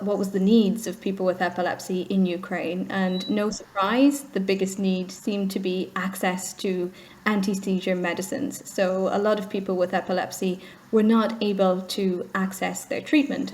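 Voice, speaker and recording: An Irish woman speaks as a charity head in a recorded interview. She explains in an earnest, measured way, and her pitch sits in the middle of the range. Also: darkish tone. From Ireland. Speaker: charity chief executive, guest. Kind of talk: video interview.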